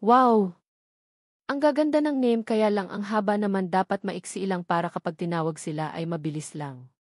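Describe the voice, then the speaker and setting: A chatty, admiring young aunt from an audio novel, played by a woman narrator. She talks in a friendly way at a medium pitch. The sound is dry. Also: moderate pace, no echo, close to an everyday voice. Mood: impressed.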